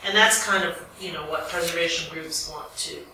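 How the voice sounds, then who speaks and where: An American woman in the audience asking a long, spontaneous question in a halting, deliberate way. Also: on the low side, pushed and bright. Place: echoey lecture room. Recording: very echoey room, distant mic, faint noise.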